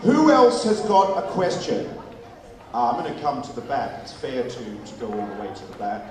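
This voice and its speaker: A man's voice: mid-range voice, huge pitch swings, moderate pace. A man in his forties hosting a live question-and-answer session with an audience after a stage show, jovial and repeating answers back. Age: 40s. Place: performance venue before a live audience. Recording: very echoey venue, distant mic, crowd noise.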